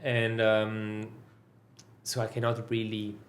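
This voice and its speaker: A man's voice: on the low side, monotone, slow. Man, hesitant. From Switzerland. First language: Italian. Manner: hesitant, many fillers and restarts. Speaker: guest entrepreneur. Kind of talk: casual interview conversation.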